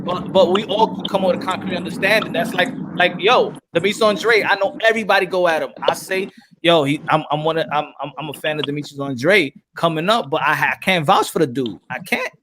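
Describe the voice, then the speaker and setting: High pitched guy hosting a chat, laughs a lot, trips over his words. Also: brisk, noisy background. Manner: laughs a lot, stammering restarts. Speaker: podcast host. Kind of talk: casual chat.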